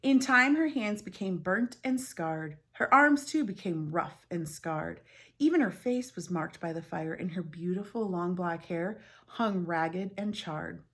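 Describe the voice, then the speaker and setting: A woman reading a story aloud like a teacher, expressive and clearly phrased, with informal asides. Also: fairly low pitch, unhurried.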